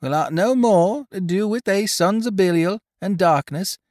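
Man putting on a high, insistent old-time sailor. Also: measured pace, darkish tone, no room sound, clean studio sound. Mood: emphatic. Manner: emphatic, cold, dialect-laden. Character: bold male sailor with rustic speech, protesting his honesty. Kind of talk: audiobook character voice, old seafaring dialect.

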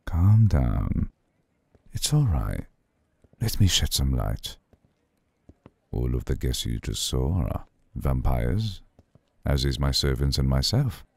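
An ancient vampire lord, acted by a man, speaks in an extremely low, husky rumble. He is slow, formal and seductive, with cool courtesy and a possessive edge. The ASMR roleplay is recorded dry and close. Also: big pitch swings, dry recording, full character voice. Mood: soothing, seductive. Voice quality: very deep, gravelly.

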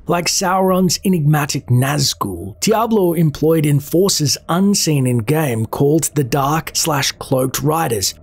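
A man's voice: fairly high pitch, breathy edge. A man narrating scripted fantasy lore in a dramatic, ominous storyteller's manner. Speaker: video narrator.

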